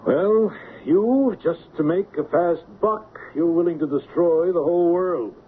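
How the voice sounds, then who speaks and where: A driven middle-aged police lieutenant, old radio drama, firm, thin tinny sound, bit of hiss. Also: male voice, high voice, a little slow. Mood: accusing, determined. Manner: firm, pointed, accusatory. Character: dogged veteran male police lieutenant, righteous, driven. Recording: tinny, band-limited, noisy old radio recording.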